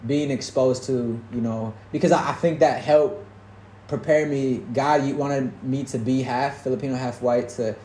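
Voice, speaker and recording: A man's voice: medium pitch, steady tone, moderate pace, dark tone. Earnest rambling man in an echoey room. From Detroit, United States. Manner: rambling, earnest, many restarts and "you know". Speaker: podcast host. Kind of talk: casual faith-filled conversation. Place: roomy indoor space, recorded in Portugal. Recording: echoey room with faint background noise.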